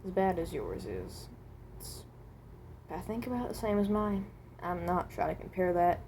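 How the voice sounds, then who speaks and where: A woman's voice: lowish voice, very animated, measured pace, a little mellow, a little hiss or hum. A woman playing a guarded, awkward animatronic possessed by someone who died at seventeen, in a hesitant, rambling amateur monologue. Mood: earnest, hesitant. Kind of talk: amateur character voice acting, improvised monologue.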